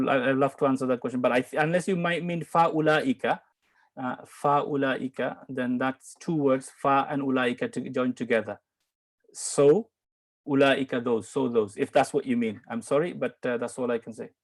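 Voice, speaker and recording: A man from Bangladesh answering questions in an online Quran lesson, patient and explaining slowly with repeats and apologies. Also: medium pitch, fairly even intonation. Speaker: Quran teacher.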